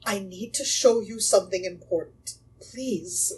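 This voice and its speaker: A woman's voice: mid-range voice, measured pace, a little mellow, far from the mic, lightly acted, poor audio. A woman acting an anxious, pleading young mother haunted by a ghost in an audio drama. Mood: urgent, pleading.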